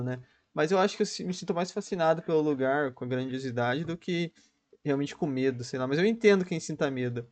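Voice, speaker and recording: Brazilian male streamer, Brazilian Portuguese accent, loose slangy reactions, slightly high voice. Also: darkish tone. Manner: chatty, restarts often, slangy. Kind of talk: casual reaction commentary.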